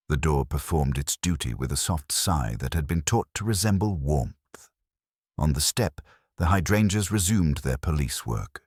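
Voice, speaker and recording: A man narrates literary fiction in a very deep voice with lively rises and falls. His delivery is formal and wryly measured, with a husky grain. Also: dry recording, studio quality. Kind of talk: scripted literary audiobook narration.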